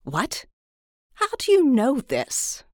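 A woman playing an earnest, excitable scientist in an audio drama, with expressive, exclamatory delivery. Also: high-pitched, takes it slowly, heavily acted. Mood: puzzled, relieved. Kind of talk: hobby audio drama acting.

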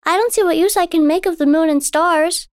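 Woman as an eager, high-voiced little girl. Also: brisk, dry recording, studio quality. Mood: curious. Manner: excited, earnest, questioning. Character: eager wide-eyed young girl, curious, sweet, innocent.

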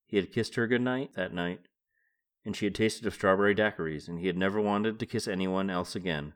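A man with a fairly low voice hosts a solo book podcast. He sounds middle-aged and moves between reading prose aloud and informal commentary, musing as if thinking out loud. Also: fairly quick. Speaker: podcast host.

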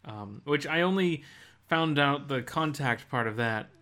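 A man's voice: medium pitch, expressive, a little slow, bright, forceful tone. A man co-hosting a podcast, talking casually and informally about video games. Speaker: podcast co-host.

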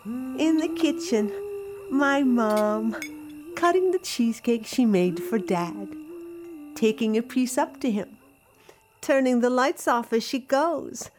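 A woman playing a grieving grown daughter, narrating an intimate audio drama about memories of home with tender, dramatic pauses. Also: on the high side, expressive, noisy background. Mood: childlike wonder. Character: grieving grown daughter recalling her childhood home with wonder. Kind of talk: intimate first-person audio drama monologue.

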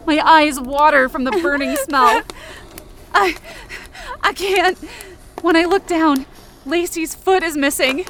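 High-voiced, frightened girl played by a woman. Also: noisy background. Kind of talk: first-person dramatic audio fiction narration.

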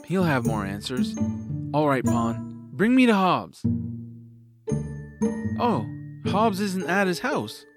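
A man's voice: on the high side, big pitch swings, slow pace, pauses often. A man playing an upbeat, curious young boy hero in an audio drama, eagerly talking to himself over music. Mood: surprised, eager. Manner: eager, self-narrating. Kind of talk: family audio drama acting.

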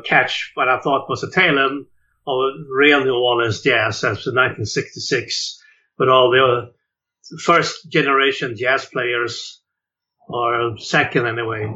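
An older man from Sweden recalls his life in a relaxed interview. His voice is bright and forceful, and he stumbles through fillers and restarts. The audio sounds dull and lo-fi. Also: mid-range voice. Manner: hesitant, many uh and repeated words. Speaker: jazz clarinetist guest.

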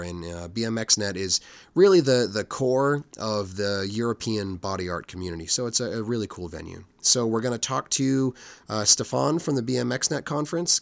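A man in his forties, hoarse from a cold, hosting a podcast in relaxed, rambling talk full of fillers. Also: lowish voice, no echo. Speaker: podcast host.

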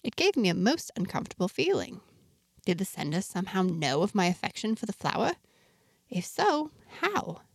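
A woman playing an earnest, wonder-struck outsider in a space fantasy, narrating her diary expressively with deliberate, dramatic pauses. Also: medium pitch, very animated, husky, dry recording, studio quality. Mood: anxious curiosity. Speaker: narrator of a fiction podcast, in character. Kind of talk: scripted first-person storytelling, audio diary.

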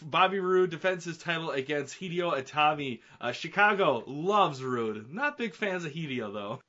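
A man's voice: on the high side, animated, normal speed, pushed and bright. A man co-hosting a casual wrestling review podcast, easygoing and rambling, laughing often. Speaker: podcast co-host. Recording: dull, muffled recording.

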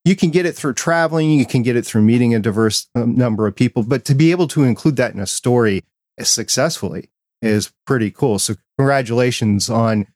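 A man's voice: medium pitch, a little fast, a little mellow, no room sound. Midwestern American man, dry close sound. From Midwestern United States. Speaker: podcast host. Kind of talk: casual interview chat.

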